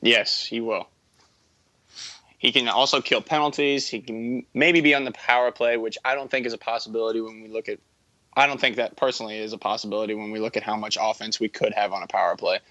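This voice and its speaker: A man's voice: lowish voice, fairly even intonation, fairly quick, bright, forceful tone, no room sound, muffled recording. A man in his early twenties co-hosting a casual sports podcast, talking in a rambling, self-correcting, enthusiastic way. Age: early 20s. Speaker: podcast co-host.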